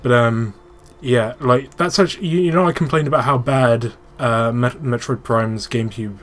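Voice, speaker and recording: A man's voice: medium pitch, moderate pace, fairly bright tone. A man giving casual, informal gaming commentary as a let's play host, swearing freely and explaining technical details.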